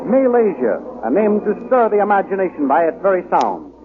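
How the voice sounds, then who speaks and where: High-voiced American radio announcer, tinny vintage recording. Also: male voice, wildly swooping pitch, a little fast, very noisy. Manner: grandiose, declamatory. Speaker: announcer and narrator. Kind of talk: 1930s radio scripted narration.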